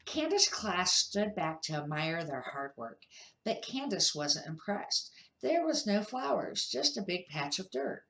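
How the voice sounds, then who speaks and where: An older woman, a grandmother, reading a children's picture book aloud in a measured, careful amateur style with short phrases. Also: on the low side, big pitch swings, gravelly, a bit bright, a little hiss or hum, rough recording.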